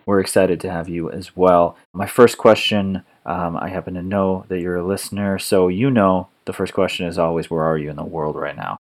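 A man hosting a podcast, asking his guest questions in a friendly, relaxed way. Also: low voice. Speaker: podcast host. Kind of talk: podcast interview.

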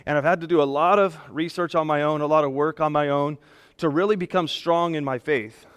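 A man preaching a sermon as pastor, speaking loudly in a conversational way with casual asides, into a microphone in a church. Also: a little high, steady tone, fairly quick, clear, resonant tone. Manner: loud, conversational, self-deprecating asides. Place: church sanctuary. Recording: long hall reverb in a church.

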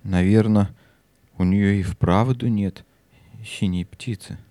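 A man's voice: fairly low pitch, fairly even intonation, slow, dark tone, no room sound. A man playing an earnest, questioning boy, dry recording. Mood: downcast. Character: Tyltyl, curious stubborn young boy on a quest. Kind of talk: audio play acting.

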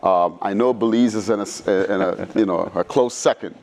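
A middle-aged man from Trinidad who later lived in New York, answering interview questions in an informal, halting, thoughtful way. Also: lowish voice, darkish tone, slight background noise. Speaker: guest speaker, physics professor. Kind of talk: conversational interview answers, reminiscing.

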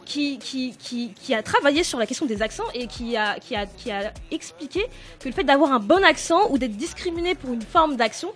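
A woman leading a casual discussion, pausing and restarting often as she sets up questions for her guests. Her voice is somewhat high. Faint music lingers underneath. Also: animated, a bit bright. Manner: hesitant, many restarts, asks questions. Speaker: podcast host and moderator.

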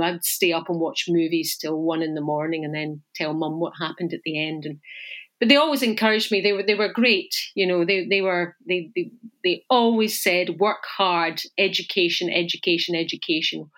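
A woman's voice: on the low side, fairly bright tone. A middle-aged Scottish woman with a Scottish accent, talking as an interview guest in a chatty, reflective way, often restarting and repeating words. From Scotland.

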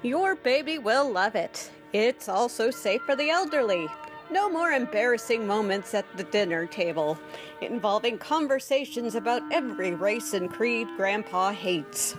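Vintage female commercial voice: slow, sweet, deliberate sell, radio spoof, clear music bed. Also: fairly high pitch, expressive. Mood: sweetly selling. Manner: coaxing, deliberate salesmanship. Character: sugary, cheery female radio advertisement pitchwoman. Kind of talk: 1930s radio commercial parody.

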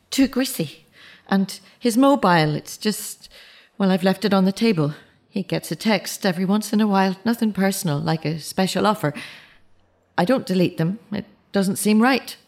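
An actress playing a fragile, grieving middle-aged mother in a naturalistic audio drama, speaking in a halting, confessional way. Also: medium pitch, natural voice. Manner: halting, self-correcting, confessional. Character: grieving mother of a murdered teenage son, fragile.

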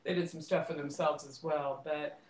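Middle aged woman in an interview, echoey room, kinda halting, repeats words while she thinks. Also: deep voice, fairly even intonation. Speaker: guest, theater director and actress. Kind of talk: conversational interview recollection. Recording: roomy, echoing voice pickup.

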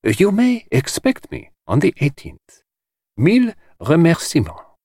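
A man voicing a grave, courteous, shrewd middle-aged detective, speaking slowly and precisely in an audiobook. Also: a little high, huge pitch swings, mellow, dry recording, studio quality, pitched above the performer's own voice, full character voice. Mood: courteous, cautioning. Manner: slow, precise, measured and formal. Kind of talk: dramatised audiobook dialogue.

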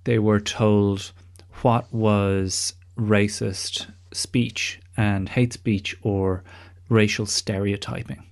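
A man's voice: fairly low pitch, level tone, drawn-out words. A man hosting a podcast interview in a wry, discursive, conversational way, with some casual swearing. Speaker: podcast host.

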